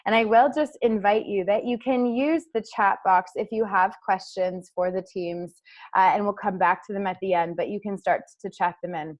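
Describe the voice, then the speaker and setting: A woman's voice: mid-range voice, normal speed. A woman moderating an online meeting, introducing teams with welcoming transitions.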